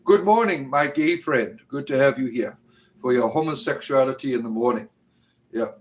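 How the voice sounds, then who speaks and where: A man in his fifties hosting a casual livestream, chatting with viewers in a rambling, joking way full of fillers. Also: mid-range voice. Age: 50s. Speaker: livestream host. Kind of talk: casual live-chat talk answering viewers.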